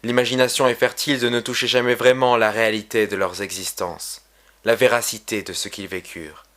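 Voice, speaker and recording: A man reading his own poem aloud in a solemn, measured, literary recitation. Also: fairly low pitch, level tone, a bit bright. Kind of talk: poetry recitation.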